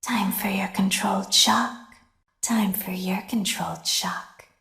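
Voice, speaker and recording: A woman voicing a sinister, girlish female animatronic who talks in a soft, menacing whisper. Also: mid-range voice, fairly even intonation, slow pace, creaky, roomy sound, exaggerated voice. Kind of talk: fan voice acting of game lines.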